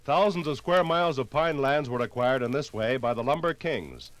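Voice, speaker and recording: An American man reading a scripted 1950s radio documentary in a formal, measured, authoritative way. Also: mid-range voice, expressive. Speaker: narrator. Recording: vintage 1950s radio LP recording.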